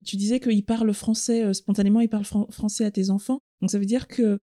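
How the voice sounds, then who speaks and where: A woman's voice: medium pitch, steady tone, brisk, warm tone. A woman hosting an interview, attentively recapping what her guest told her before asking each question.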